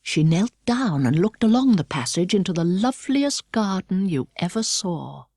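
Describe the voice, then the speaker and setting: A middle-aged British woman narrating a children's storybook with precise, expressive delivery. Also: fairly low pitch, moderate pace, husky, no echo, clean studio sound. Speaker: audiobook narrator. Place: dry studio.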